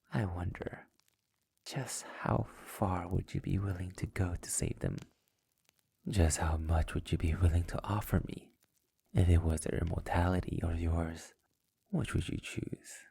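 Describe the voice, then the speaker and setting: A man playing a sadistic, possessive immortal vampire master in an intimate ASMR roleplay, taunting theatrically in a breathy, gravelly voice that often nears a whisper. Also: deep voice, warm tone, full character voice.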